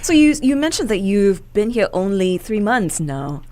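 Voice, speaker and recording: A woman hosting a morning radio show in the studio, asking her guests questions in a bright, easygoing way. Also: medium pitch, big pitch swings, moderate pace. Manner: bright, laughs easily. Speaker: radio host.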